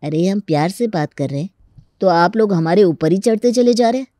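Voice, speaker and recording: A man's voice: high voice, lively intonation, fairly quick, warm tone, dry recording. A crafty young village man played by an actor in a comic cartoon story. He is folksy and mock-polite, yet stubborn and always ready to argue. The sound is dry and close. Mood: indignant, defiant. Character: stubborn, crafty young rural male tenant with cattle. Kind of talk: Hindi comic story dramatization.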